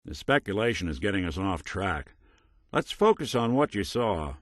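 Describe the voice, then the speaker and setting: A man's voice: low-pitched, sing-song, moderate pace, a bit bright, no echo, clean studio sound. A man playing a world-weary android private detective in a video game, tough but kind, with wry, clipped hardboiled phrasing. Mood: businesslike, probing. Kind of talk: noir detective game dialogue.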